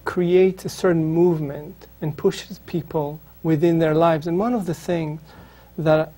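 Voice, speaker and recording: Hesitant Israeli man, dark and flat. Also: fairly high pitch, a little slow. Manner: hesitant, many ums and restarts. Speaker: author, interview guest. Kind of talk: reflective interview answers.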